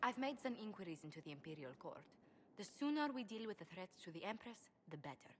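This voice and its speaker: A composed court diplomat from a fantasy video game, voiced by a woman. She speaks formally and carefully at a medium pitch, earnest and courtly. There is some noise in the background. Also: sing-song, quick pace, natural voice. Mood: grave, urgent. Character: poised, formal female court diplomat and advisor.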